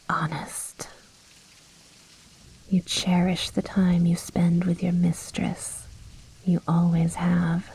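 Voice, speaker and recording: Casting: a woman playing a vampire mistress for whispered ASMR drama; breathy, slow, silkily condescending, possessive. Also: fairly low pitch, exaggerated voice, rough recording. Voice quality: soft, breathy, much of it whispered. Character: commanding, seductive female vampire noble, coaxing and possessive.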